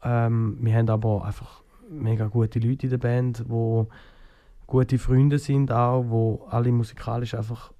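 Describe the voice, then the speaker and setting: A singer with a Schaffhausen Swiss German accent answering interview questions in a halting way, full of fillers and restarts. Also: male voice, medium pitch, level tone, muffled recording. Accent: Swiss German, Schaffhausen dialect. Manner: hesitant, many fillers and restarts. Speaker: guest singer.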